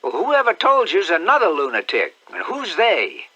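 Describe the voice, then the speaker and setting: Here is a shrill, fussy old aunt from the countryside, quick to scold and quicker to exclaim, voiced by a man. Her voice sits high and cuts with a bright edge. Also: wildly swooping pitch, slightly rough, heavily acted. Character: fussy excitable old aunt, rural woman.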